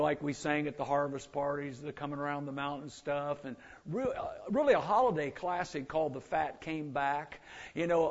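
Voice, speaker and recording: An older man with a gravelly, rough voice, a visiting gospel singer at a church service, chatting folksily between songs. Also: a little high. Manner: folksy, self-deprecating patter between songs. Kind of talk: church singing and chat. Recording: muffled, lo-fi with instrumental backing.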